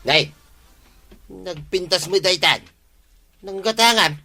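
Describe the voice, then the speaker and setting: Casting: male actor for scheming Filipino villager, Ilocano accent, bright tense tone, raised pitch, comedy drama. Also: slow, vocal fry, full character voice. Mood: curious.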